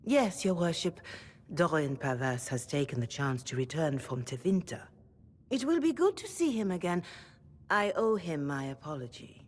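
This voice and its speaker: A woman playing a gracious, devout older cleric in a video game, speaking formally with measured courtesy and gentle frankness. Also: deep voice, sing-song. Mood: composed, sincere.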